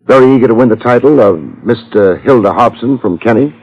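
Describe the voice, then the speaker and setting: An actor playing a cool, wisecracking private detective in a 1950s radio drama, dry and sardonic. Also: male voice, medium pitch, fairly quick, a little mellow, natural voice. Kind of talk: 1950s radio detective acting, with first-person narration. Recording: muffled old-time radio recording.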